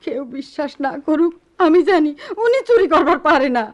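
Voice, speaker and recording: An actress playing a distraught, loyal village wife in a radio drama, tearful and insistent, with a rural Bangladeshi accent. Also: female voice, high voice, expressive, fairly quick. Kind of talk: Bengali radio play acting.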